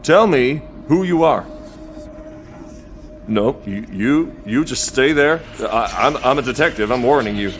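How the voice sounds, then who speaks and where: A man's voice: mid-range voice, animated, unhurried, soft background music, close to an everyday voice. An actor playing a flustered, dryly witty detective who stammers and narrates his own case in an audio drama. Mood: alarmed. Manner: stammering, wry, wordy. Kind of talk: full-cast audio drama with narrated monologue.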